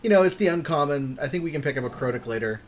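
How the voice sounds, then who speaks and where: A man's voice: a little high, animated, rapid-fire. A man co-hosting a podcast, casually commentating on a card game draft in an agreeable way, often repeating himself for emphasis. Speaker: podcast co-host.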